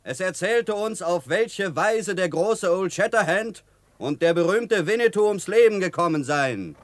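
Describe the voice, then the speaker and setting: Apache rider for radio drama: high, slow, earnest, declamatory delivery. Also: male voice, animated, no room sound. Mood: earnest recounting. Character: friendly male Apache rider, earnest and emphatic. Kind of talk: German radio drama acting.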